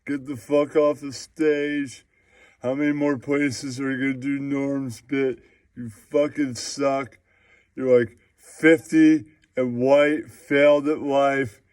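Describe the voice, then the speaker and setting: A man's voice: medium pitch, little pitch movement, slow pace, a little mellow, no room sound, lightly acted. A man playing an angry heckler in a puppet comedy, berating a comedian with repeated profane insults.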